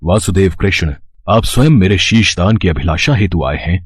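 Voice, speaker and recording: A man's voice: sits low, expressive, normal speed, slight background noise. A man playing a brave, reverent young warrior prince in a mythological story, speaking formally and earnestly. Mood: devout, resolute. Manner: formal, declamatory Hindi, earnest. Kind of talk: scripted mythological storytelling drama.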